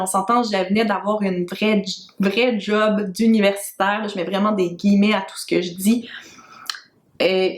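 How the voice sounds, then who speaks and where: A woman's voice: mid-range voice, roomy sound. A woman in her thirties with a Québécois French accent, chatting frankly to camera in a casual vlog, with plenty of fillers. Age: 30s. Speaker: freelancer vlogger. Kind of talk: casual talking-head vlog. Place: home room with makeshift lighting.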